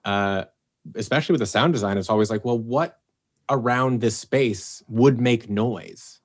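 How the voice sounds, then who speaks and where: A man's voice: mid-range voice, unhurried. A man talking casually in a podcast interview about writing an audio drama, rambling a little and acting out what he and his team said. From Los Angeles area, United States. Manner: rambling, restarts and fillers, animated when quoting himself. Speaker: producer and writer of an audio drama.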